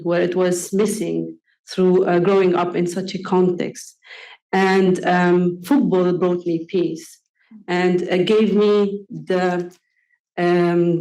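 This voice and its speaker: Slow, earnest woman. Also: lowish voice, darkish tone. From Palestine. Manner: earnest, measured, with frequent pauses and ums. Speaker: panelist. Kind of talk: panel discussion answer. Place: conference panel. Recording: echoey conference room.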